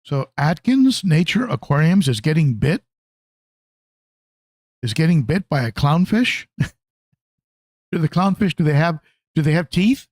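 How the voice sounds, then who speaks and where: A man hosting a casual hobby livestream, talking conversationally and haltingly, often repeating phrases as he answers viewers. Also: a little high, expressive, a little mellow, no room sound, studio quality. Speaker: livestream host, aquarium hobbyist.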